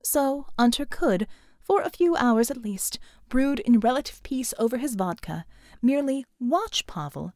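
A woman narrating a scripted audiobook novel in a measured, expressive storytelling manner. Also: a little high, no echo, studio quality.

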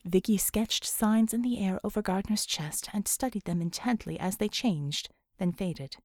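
A woman's voice: mid-range voice, normal speed, darkish tone, no room sound, clean studio sound. A woman reading scripted fiction as an audiobook narrator.

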